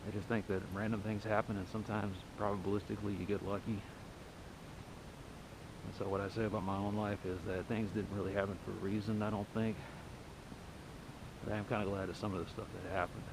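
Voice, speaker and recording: A middle-aged man from Texas with a lightly softened Texas accent, talking bluntly and wryly as he walks a residential street at night. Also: fairly low pitch, little pitch movement, fast talker, vocal fry, long pauses. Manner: blunt, wry, talks while walking. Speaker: walking vlogger. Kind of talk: rambling night-walk monologue. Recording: street noise and passing cars.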